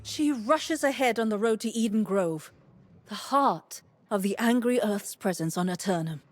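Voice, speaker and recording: A woman's voice: mid-range voice, normal speed, soft background music, natural voice. An actress playing a cautious, experienced female sage in a video game, explaining things with earnest concern. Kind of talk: video game character dialogue.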